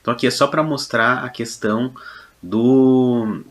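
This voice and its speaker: A man's voice: mid-range voice, steady tone, measured pace, a bit bright. A Brazilian man giving an informal technical tutorial as a lecturer, explaining in a hesitant way with frequent check-in tags. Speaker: electronics lecturer. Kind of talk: informal technical tutorial narration over a demonstration.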